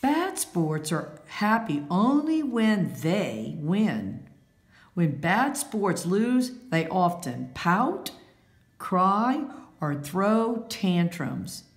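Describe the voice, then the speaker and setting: A woman reading a children's picture book aloud, instructive and deliberate, adding short comments. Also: mid-range voice, very animated, roomy sound. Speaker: read-aloud storyteller.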